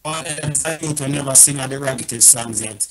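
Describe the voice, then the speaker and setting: A man's voice: mid-range voice, fairly quick. A man, a music producer, talking earnestly in a casual panel discussion and often repeating words as he searches for them.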